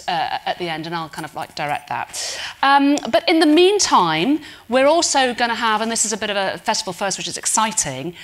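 Chatty woman hosting a festival panel. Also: medium pitch. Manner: lively, teasing, chatty. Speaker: panel host. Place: stage before a large live audience.

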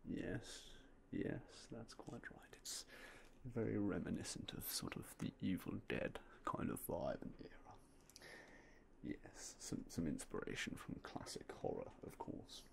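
A young man softly whispering in a polite ASMR roleplay as a game store clerk, close to a binaural mic. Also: low voice, sing-song, unhurried, gravelly. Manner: soft, polite, mostly unvoiced whispering.

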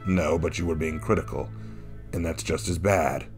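A stoic wandering warrior, acted out by a male narrator, gruff and very deep. He speaks in blunt, terse, calm phrases with a gravelly edge, as in a fantasy audiobook, with faint music behind him. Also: moderate pace. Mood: blunt, dry.

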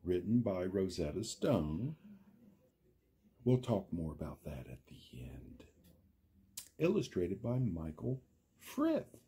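A man reading a children's picture book aloud, speaking directly to the children like a schoolteacher, with theatrical drawn-out words and dramatic pauses. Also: low-pitched, big pitch swings, over music. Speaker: storyteller, reader to young children.

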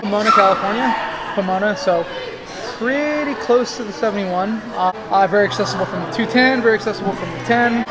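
A man in his thirties co-hosting a beer tasting vlog, talking in a casual, excitable, profane and joking way. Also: high-pitched, a little fast, rough recording. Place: busy brewery taproom. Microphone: split-screen phone cameras at arm's length. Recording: loud music and crowd behind.

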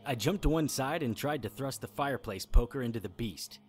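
An actor playing a grim hero who narrates his battles in measured first-person radio drama storytelling. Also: mid-range voice, lively intonation, husky, faint music behind. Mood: grim, tense.

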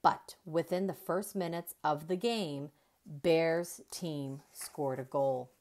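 A woman reading a children's story aloud in an expressive, deliberate storyteller's manner. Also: low-pitched. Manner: deliberate, animated storytelling with emphasized dialogue.

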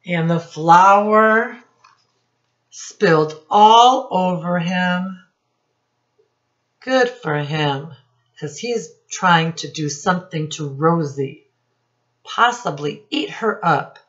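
Female storytime teacher, middle-aged, very unhurried, instructive delivery with questions for kids; slightly muffled home webcam recording. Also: on the low side, expressive, fairly bright tone. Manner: instructive, prompting listeners with questions, drawn-out emphasis on key words. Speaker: children's storytime teacher and reader. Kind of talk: read-aloud picture book lesson for young children. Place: small room indoors. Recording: dull, lo-fi webcam sound.

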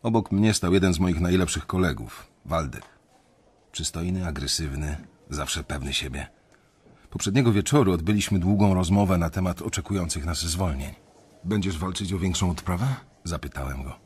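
An actor playing a weary young banker, narrating his story in a radio drama in a reflective, confiding way with a husky voice. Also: deep voice, normal speed, a little mellow. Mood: reflective, resigned.

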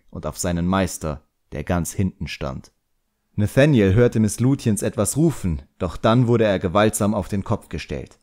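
Male audiobook narrator, low register, for scripted fantasy storytelling. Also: a little mellow.

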